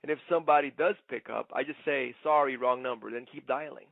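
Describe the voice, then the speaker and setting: A man giving an instructional sales presentation like a trainer, conversational but emphatic, often checking in with a quick "okay?". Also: medium pitch, steady tone, vocal fry, no echo. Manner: conversational, punctuates points with "okay?". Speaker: network marketing trainer. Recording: muffled, narrow phone-like sound.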